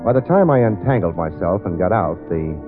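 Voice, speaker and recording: An actor playing a wisecracking American private detective in 1940s radio drama, dry, streetwise and sardonic. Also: male voice, low voice, big pitch swings, a little fast. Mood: dry narration. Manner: dry, sardonic, hesitant asides. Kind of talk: 1940s radio detective drama acting, with first-person narration. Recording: tinny old radio recording, music.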